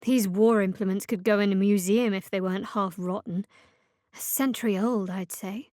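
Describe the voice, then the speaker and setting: A woman playing a guarded, sardonic young woman cleric of a dark goddess in a video game, with a dry manner. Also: medium pitch, steady tone, moderate pace, no room sound, natural voice. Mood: dry, observant. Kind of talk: video game character voice acting.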